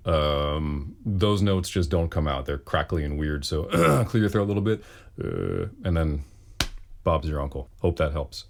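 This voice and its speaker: A man speaks in a low, deep bass voice. He talks casually as a singer fielding questions, with a wry and self-deprecating sense of humor. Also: darkish tone. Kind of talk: casual Q and A talk.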